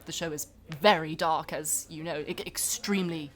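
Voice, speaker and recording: A woman as a comedy podcast guest, giving earnest, deadpan explanations in a mock celebrity interview. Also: low voice, moderate pace, crowd noise. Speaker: comic interview guest playing an actress.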